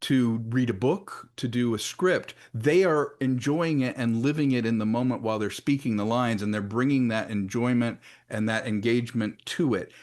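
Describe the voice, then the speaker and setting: A man's voice: mid-range voice, moderate pace, hardly any pauses, darkish tone. A man arguing his view as a panelist, emphatic and articulate. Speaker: panelist, audio drama professional. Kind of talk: opinionated panel discussion.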